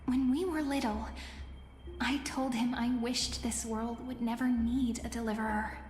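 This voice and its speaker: Young female oracle, fantasy game actress: gentle, poetic, fairly high and slow, long reverb with music. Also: fairly even intonation, close to an everyday voice. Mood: wistful. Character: gentle young oracle girl, calmly devoted. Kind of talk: dramatic fantasy game voice acting. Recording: echoing reverb, prominent music underneath.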